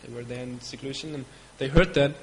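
A man from Germany with a German accent, a devotee giving a storytelling talk. He speaks haltingly and stops to search for English words. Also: medium pitch, fairly even intonation. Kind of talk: storytelling lecture. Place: hall in Belgium. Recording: long hall reverb with faint ambience.

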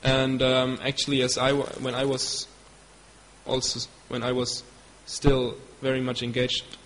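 A man from Germany with a German accent, a devotee giving a storytelling talk. He speaks haltingly and stops to search for English words. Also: medium pitch, monotone, a bit bright. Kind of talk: storytelling lecture. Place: hall in Belgium. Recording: long hall reverb with faint ambience.

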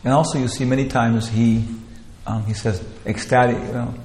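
A man's voice: medium pitch, fairly even intonation, normal speed, darkish tone. A man teaching a scripture class in a rambling, informal way, full of "you know" and restarts. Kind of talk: informal spiritual lecture. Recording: roomy hall with long echo, faint ambience.

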